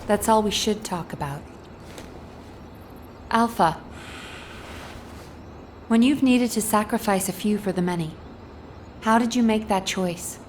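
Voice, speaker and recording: An actress playing a stern, guarded military officer in a video game, speaking in a curt and restrained way. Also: female voice, mid-range voice, a little slow, pauses often, a little hiss or hum, close to an everyday voice. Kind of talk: dramatic video game acting.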